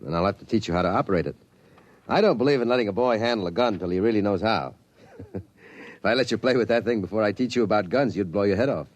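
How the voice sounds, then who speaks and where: A man's voice: fairly low pitch, dry recording, lightly acted. An actor playing a teasing, folksy middle-aged American father in a 1950s radio drama. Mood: teasing, fond. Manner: brisk, folksy, joshing.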